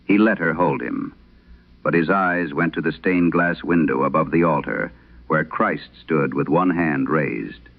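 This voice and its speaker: A man narrating as a troubled wife reading her haunted letter in a vintage horror radio drama, solemn and measured. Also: very deep voice, exaggerated voice. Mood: somber, uneasy. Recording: dull lo-fi vintage radio sound.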